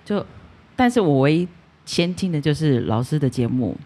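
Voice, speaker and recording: A woman's voice: deep voice, very animated, normal speed, dark tone, slightly rough. A woman chatting casually as a guest on a podcast. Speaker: guest podcaster, ASMR creator. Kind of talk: casual interview chat.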